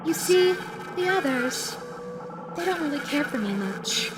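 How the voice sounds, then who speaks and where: A woman's voice: high voice, expressive, unhurried, very noisy. A woman voicing a flirty, menacing female animatronic fox in an audio roleplay, playful, coy and teasing. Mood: pouty, clingy. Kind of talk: audio roleplay addressed to the listener. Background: music.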